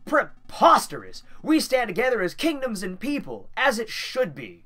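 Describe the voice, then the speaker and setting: A man's voice: sits high, huge pitch swings, normal speed, bright, forceful tone, faint music behind, voice pushed up, heavily acted. A man putting on a proud declaiming king. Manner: declaiming, theatrical.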